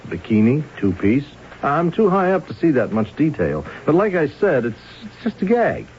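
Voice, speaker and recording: Folksy, hesitant pilot with swooping pitch. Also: male voice, wildly swooping pitch, moderate pace, a little mellow. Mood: casual, apologetic. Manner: hesitant, folksy, stammering over repeated words. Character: affable, hesitant male traffic helicopter pilot eyewitness. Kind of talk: 1970s radio drama acting. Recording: dull, hissy vintage radio broadcast.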